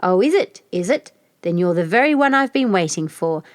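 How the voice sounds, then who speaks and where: A woman storyteller puts on a great grey storybook troll, a menacing bully of a monster. He shouts and blusters, with pitch that swings widely, in a close, dry recording. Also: big pitch swings, normal speed, no echo. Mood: greedy. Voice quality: loud, booming shout.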